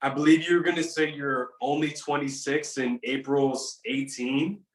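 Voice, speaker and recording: A man's voice: medium pitch, fairly even intonation, moderate pace, lightly acted. An actor at a table read playing a cocky young man who talks in laid-back slang. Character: cocky young man, boastful party guy. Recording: echoey, from a distance.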